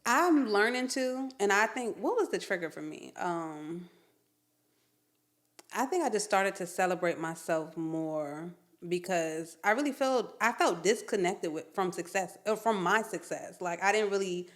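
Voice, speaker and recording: A woman in her thirties, a podcast guest talking in a candid, animated way, full of "like"s and acted-out quotes. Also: mid-range voice, unhurried. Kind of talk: casual chat.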